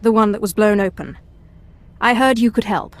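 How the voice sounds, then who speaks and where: A woman's voice: medium pitch, normal speed, lightly acted. A woman playing a cool, dry-witted alien Sith apprentice in a video game, with controlled delivery. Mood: determined, dry. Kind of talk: video game cutscene acting.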